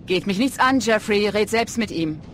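Actress as a brisk female police detective, echoey room. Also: mid-range voice, animated, fairly bright tone, slight background noise, close to an everyday voice. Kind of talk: audio drama acting.

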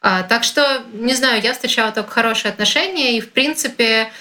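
A young woman from Russia chatting casually as a guest, lively and informal with plenty of slang. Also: a little high, brisk. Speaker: guest, content creator. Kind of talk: casual conversation.

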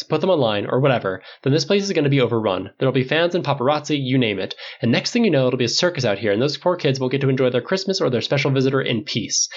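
A man voicing a brash, impatient rich man who talks fast and rambles defensively. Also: medium pitch, dull audio, close to an everyday voice.

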